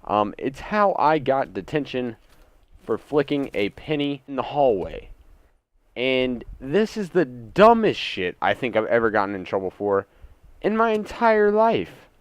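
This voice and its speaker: A man's voice: medium pitch, big pitch swings, measured pace, dry recording. A young man telling a casual, indignant, sweary story for his gaming channel. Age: teens to young adult. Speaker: gaming YouTuber. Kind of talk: casual story time over gameplay. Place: indoors at home.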